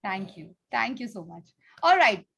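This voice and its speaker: A woman's voice: medium pitch, sing-song, normal speed, vocal fry, a bit bright, breathy edge. A woman hosting and teaching, addressing children in an instructive way and prompting them with questions over an online video call. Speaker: contest host and teacher. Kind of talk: explaining rules and addressing children.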